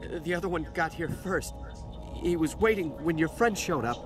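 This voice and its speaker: An actor as a jittery, high-voiced frightened dockworker. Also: male voice, animated, moderate pace, close to an everyday voice. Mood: nervous. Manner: stammering, nervous. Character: frightened dockworker, cowardly, jittery survivor in hiding. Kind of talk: video game cutscene acting. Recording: game audio with music underneath.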